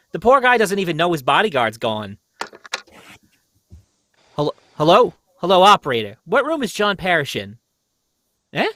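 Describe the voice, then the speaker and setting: A man plays an easily flustered police inspector in a radio drama. His voice is high and forceful, jumping around in pitch as he blusters and stammers. The delivery is urgent and exclamatory. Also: no room sound, heavily acted. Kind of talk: old-time radio mystery acting.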